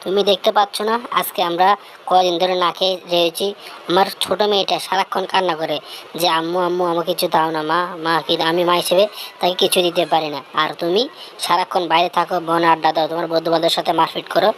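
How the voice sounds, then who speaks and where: Cartoon mother bird, played by a woman: poor, weary, aggrieved wife, slightly low female pitch, noisy ambience. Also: steady tone, fairly quick, lightly acted. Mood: angry, reproachful. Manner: plaintive, complaining. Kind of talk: cartoon storybook character dialogue.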